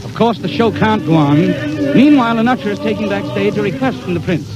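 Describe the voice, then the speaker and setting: A man's voice: high voice, animated, fairly quick, loud music behind the voice. A man narrating a 1930s radio show as its announcer, in a dramatic, theatrical showman's style. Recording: noisy, muffled 1932 radio recording.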